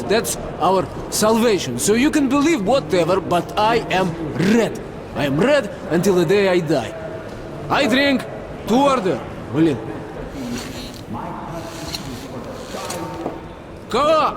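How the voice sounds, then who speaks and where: An actor playing a talkative, falsely friendly military major in a video game, with a Russian accent and expressive, chatty delivery. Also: male voice, high-pitched, background noise. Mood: rousing, tipsy toast. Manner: chatty, expressive, peppering speech with foreign exclamations. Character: boisterous, talkative Red Line army major, falsely friendly.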